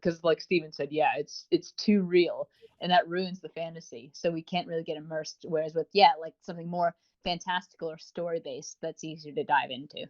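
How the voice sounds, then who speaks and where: Male podcast co-host, conversational and opinionated, casual reviewing style, muffled low-fidelity recording. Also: fairly even intonation, fairly quick.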